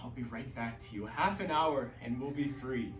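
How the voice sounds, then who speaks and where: A man's voice: medium pitch, normal speed, fairly bright tone, natural voice. A man playing an earnest young sailor in love who speaks in an urgent, pleading way. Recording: echoey room, distant mic.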